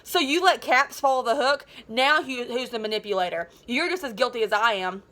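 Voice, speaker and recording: Lady reading a pushy popular school kid, a boy, sarcastic and scheming, storybook style, highish voice. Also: a little fast, sharp tone. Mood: snarling.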